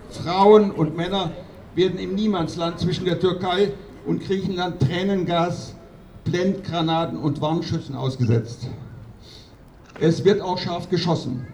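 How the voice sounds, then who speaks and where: A man delivering a political speech at a protest rally in an emphatic, accusatory, declamatory way with deliberate phrasing. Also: high-pitched, darkish tone. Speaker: activist doctor speaking at a protest rally. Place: outdoor rally. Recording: distant outdoor mic with clear noise.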